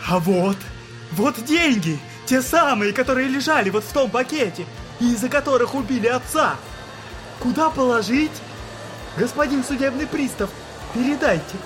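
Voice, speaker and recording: A man's voice: very high voice, expressive, moderate pace, fairly bright tone. A man playing a feverish, tormented, bitterly defiant courtroom witness in a theatrical audio drama, speaking in an agitated, sardonic, erratic way. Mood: bitterly mocking. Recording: dramatic music behind the voice. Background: music.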